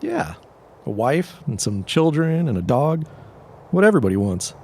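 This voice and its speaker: A man's voice: on the high side, big pitch swings, moderate pace, darkish tone, slightly rough, exaggerated voice. A man playing an earnest young suitor in a period audio drama. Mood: earnest, questioning. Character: earnest young suitor, would-be policeman in 1917.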